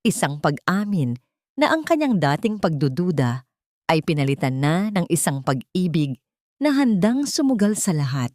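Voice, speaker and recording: A woman narrating a dramatic audio story in a measured, scripted way. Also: lowish voice, sing-song, darkish tone, no echo, studio quality.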